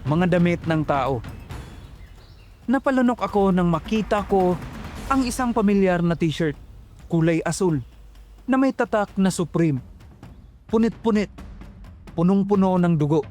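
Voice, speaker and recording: A man's voice: high voice, expressive, measured pace, pauses often, darkish tone, soft background music. A young man narrating a horror story in a tense, suspenseful telling.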